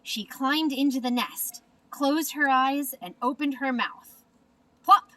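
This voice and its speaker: A woman narrating a children's storybook in an expressive, deliberate manner. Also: sits high, no room sound, distant mic, low-quality recording. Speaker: storybook reader.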